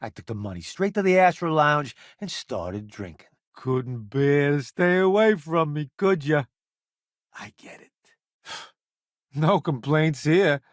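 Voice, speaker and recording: Video game space crewmate: showy ex-celebrity food critic, unhurried, very expressive, wry and self-assured. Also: male voice, a little high, no echo, studio quality. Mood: wry storytelling. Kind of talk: video game character voice lines.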